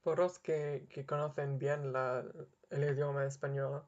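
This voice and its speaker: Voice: medium pitch, flat delivery, a little slow, darkish tone, muffled recording. A young adult language learner talking unscripted and searching hard for words, often restarting and correcting themselves. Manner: apologetic about own mistakes. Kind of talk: unscripted spoken monologue to camera.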